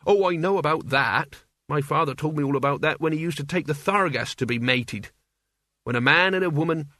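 A bewildered teenage lad, played by a male narrator, earnest and plain-spoken, a little higher in pitch. He sounds artless and well-meaning, storybook style, recorded dry. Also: brisk, sharp tone, no room sound. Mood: earnest, naive. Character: naive, well-meaning sixteen-year-old boy, blunt and bewildered.

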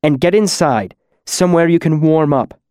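A man playing a kindly, excitable man in a demon mask, emphatic and concerned, in an audiobook story. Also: sits high, normal speed, dry recording, studio quality, pitched above the performer's own voice. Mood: urgent concern.

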